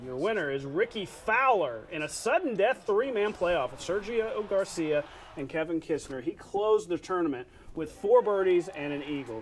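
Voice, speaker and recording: A man co-anchoring a TV sports show, delivering highlights commentary with a light joking touch.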